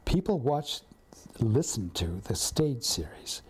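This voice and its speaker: Very husky man reminiscing. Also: mid-range voice, big pitch swings, slow. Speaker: interviewee recalling radio drama history. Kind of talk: reflective reminiscence.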